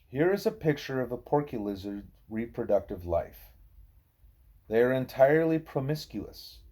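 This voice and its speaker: Male narrator for literary audiobook: soft husky timbre, relaxed tone, measured explanatory phrasing. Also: medium pitch. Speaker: audiobook reader. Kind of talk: scripted narration of a novel in dialogue.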